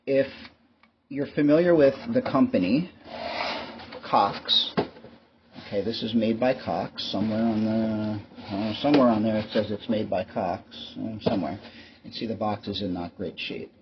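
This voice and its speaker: A man talks casually through a product on his video channel, in a rambling and resigned tone with dry humor. His voice sits a little low. The recording is dull, with noticeable noise behind him. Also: moderate pace. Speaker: YouTube host. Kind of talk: casual product show-and-tell vlog. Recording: muffled lo-fi mic with clear background noise.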